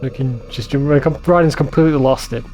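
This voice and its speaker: A man's voice: medium pitch, moderate pace, mellow. A man giving informal, sweary gaming commentary as a let's play host. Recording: faint game music behind voice. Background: game soundtrack.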